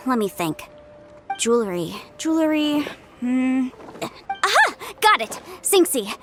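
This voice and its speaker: A woman's voice: high-pitched, big pitch swings, slow pace, fairly bright tone. A young woman voicing a legal adviser character in a game cutscene, speaking in a composed, precise, businesslike way. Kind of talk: video game cutscene voice acting. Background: faint game music.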